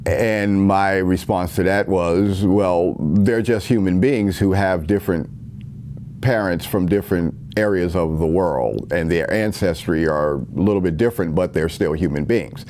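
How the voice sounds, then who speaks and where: A man's voice: low voice, measured pace, darkish tone, a little hiss or hum. A man giving an earnest, rambling spoken monologue with many restarts, recorded at home.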